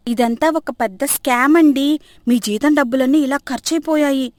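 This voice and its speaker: A woman's voice: high voice, moderate pace, no echo, pitched above the performer's own voice, close to an everyday voice. A woman voicing a cheeky, mischievous young wife and daughter-in-law in a comic story, teasing and exclamatory. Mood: teasing, sly.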